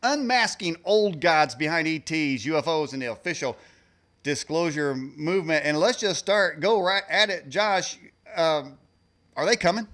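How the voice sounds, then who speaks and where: A man's voice: fairly high pitch, animated, moderate pace, a bit bright. A man hosting a radio interview, folksy and joking in a casual way. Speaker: radio host.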